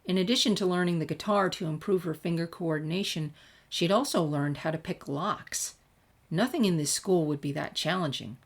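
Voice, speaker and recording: A woman narrates a story with expression. Her voice sits low for a woman, and she reads from a script as a storyteller would. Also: normal speed. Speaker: fan fiction reader.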